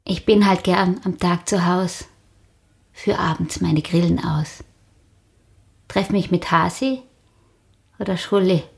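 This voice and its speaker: An actress voicing a wry, homebody woman poet reciting verse in a radio play, with a creaky voice. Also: fairly low pitch, takes it slowly, pauses often.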